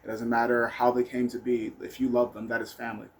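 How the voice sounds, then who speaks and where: A man's voice: medium pitch, completely flat delivery, quick pace. A man telling personal stories in a casual, rambling monologue, blunt and candid, with some swearing. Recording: noticeable background noise.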